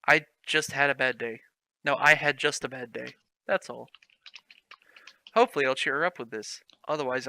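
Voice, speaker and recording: A man's voice: mid-range voice, sharp tone, no room sound. A man reading a clumsy fan story aloud, mocking it with sarcastic, swearing commentary. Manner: sarcastic, swears, laughs at the text.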